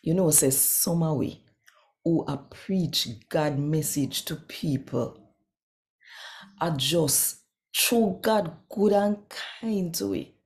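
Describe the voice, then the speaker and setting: A Jamaican woman in her sixties giving an expressive, deliberate scripture reading in Patois as host. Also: sits low. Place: small quiet room indoors.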